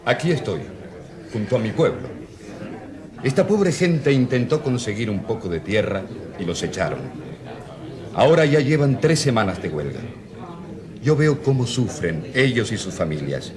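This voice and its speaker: Actor as earnest country priest amid a crowd. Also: male voice, medium pitch, big pitch swings, normal speed, pauses often, close to an everyday voice. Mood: solemn compassion. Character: earnest, compassionate male rural priest siding with peasants. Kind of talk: radio drama acting. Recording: distant voice amid crowd noise.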